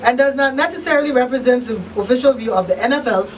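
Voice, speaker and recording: Female talk radio host, higher voice, emphatic and conversational, a little off-mic with faint noise. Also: quick pace, distant mic, rough recording. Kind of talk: casual radio talk, partly reading announcements. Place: radio studio.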